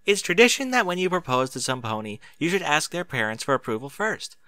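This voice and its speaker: Eager, precise young pony mare played by a man, lifted pitch and bright tone, for a storybook. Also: big pitch swings, a little fast, no echo. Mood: earnest, happy. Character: eager, bookish young female unicorn pony, smug and earnest.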